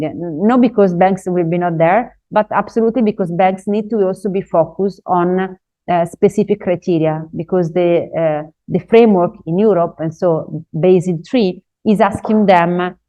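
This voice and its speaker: Hesitant woman presenting a webinar, low mellow voice. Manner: hesitant, frequent "uh" fillers, non-native English phrasing. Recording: dull lo-fi webinar audio.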